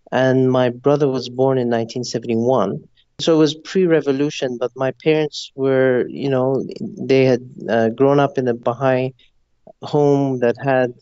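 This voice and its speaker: A man's voice: mid-range voice, warm tone, clean tone, no echo, highs cut off. A middle-aged man from Zambia answering interview questions in a thoughtful, earnest way. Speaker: interview guest, novelist.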